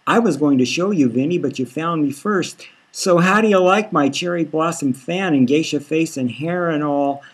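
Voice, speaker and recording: Vain, chatty female character, read by a man: husky, gushing, run-on chatter for satirical audiobook. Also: on the high side, normal speed, few pauses. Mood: gushing, preening. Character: vain, chatty woman proud of her geisha costume.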